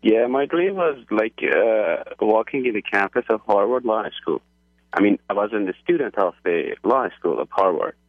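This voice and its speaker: A man from Iran calling into a radio show, speaking eagerly and haltingly with many restarts. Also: fairly low pitch, animated, dry recording. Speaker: caller. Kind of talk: phone-in call. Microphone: telephone. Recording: husky tinny phone line.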